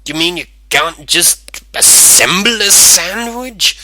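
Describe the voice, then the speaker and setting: A gruff, dismissive town mayor from a storybook, as a man acts him out. He blusters loudly and demands things, with a very forceful, animated delivery. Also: high-pitched, slow, no echo, voice pushed up, full character voice. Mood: indignant. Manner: blustering, loud.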